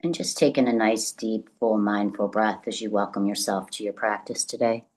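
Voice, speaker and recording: A woman leading a guided meditation as the guide, speaking gently and deliberately in a soothing, instructional way with long pauses. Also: rumbling low.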